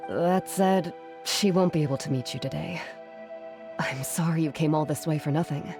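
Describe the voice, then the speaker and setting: A woman playing a gentle, caring, modest retired artisan in a video game, soft-spoken and measured. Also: deep voice, over music. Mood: apologetic, tender.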